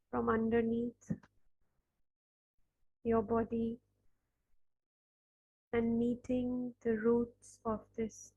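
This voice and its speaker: A woman leading a guided meditation, speaking softly with long pauses between phrases. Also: on the high side, lo-fi sound. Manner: slow, soft, pausing between phrases.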